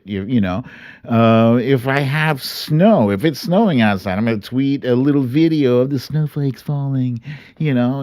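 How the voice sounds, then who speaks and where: Slow-paced middle-aged man chatting on livestream. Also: medium pitch, darkish tone, smooth, no echo, clean studio sound. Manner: chatty, earnest, chuckles and quotes himself animatedly. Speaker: host of a livestream show. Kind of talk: casual livestream chat to followers, telling stories and reading comments.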